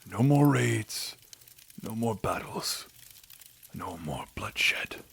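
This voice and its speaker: Male actor as solemn old monarch, deep voice, unhurried delivery, breathy half-whispered endings, grave, audio drama. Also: expressive, fairly bright tone, full character voice. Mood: weary, earnest. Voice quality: husky, breathy, half-whispered at phrase ends. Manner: slow, grave, deliberate. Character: aging, weary, solemn king pleading for peace. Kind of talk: audio drama character acting.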